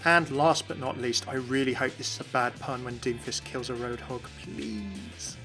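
A man's voice: medium pitch, moderate pace, bright, forceful tone, soft background music. A man hosting a game lore video, talking through voice lines in a chatty, enthusiastic voice-over. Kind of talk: scripted commentary voice-over.

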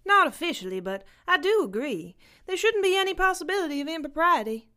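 A woman's voice: very high-pitched, very animated, fairly quick, bright, forceful tone, exaggerated voice. A woman voicing a cheerful, businesslike cartoon fish organizer, proper and upbeat. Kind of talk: cartoon audio drama acting.

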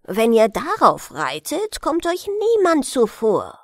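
A woman's voice: high-pitched, wildly swooping pitch, slow pace, no echo, studio quality, exaggerated voice. A woman voicing scheming royal servants who urge counsel on their king in a storybook fairy tale. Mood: urging.

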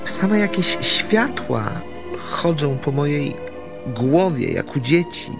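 Radio play lead: melancholy aristocrat, fifty, lightish voice, ornate halting verse, music underneath. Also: male voice, on the high side, sing-song, creaky, heavily acted. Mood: dreamy, melancholy. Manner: florid, halting, poetic. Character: jealous fifty-year-old count, florid melancholy romantic dreamer. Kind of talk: theatrical verse radio drama.

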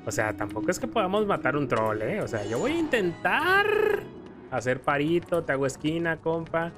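A man's voice: on the high side, huge pitch swings, moderate pace. A man with a Mexican accent doing casual, joking commentary over a video game playthrough. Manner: joking, playful exclamations. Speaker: gaming video creator. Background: video game music.

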